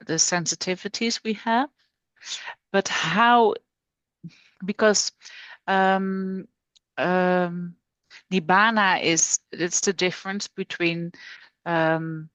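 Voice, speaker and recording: A woman's voice: on the low side, a bit bright, smooth, no echo, dull audio. A woman student asking a teacher a question, hesitant and searching for words.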